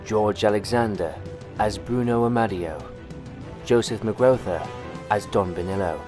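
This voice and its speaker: A man's voice: on the low side, a little slow. A man reading scripted end credits as the announcer of an audio drama. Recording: clear music behind the voice.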